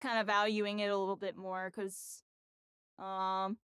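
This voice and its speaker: A woman's voice: medium pitch, little pitch movement, a little slow, a bit bright, smooth, no room sound, studio quality. A tired woman streamer chatting casually and rambling, her voice worn out after a long session. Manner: rambling, full of "like" and "you know". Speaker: game streamer. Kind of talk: casual live-stream chat.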